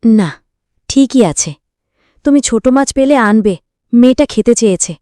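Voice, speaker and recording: A woman's voice: fairly high pitch, moderate pace, warm tone, clean tone, no room sound, studio quality, natural voice. A woman playing a practical, nagging middle-aged village wife and mother in a cartoon fairytale. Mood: businesslike. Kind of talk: Bengali cartoon fairytale dialogue.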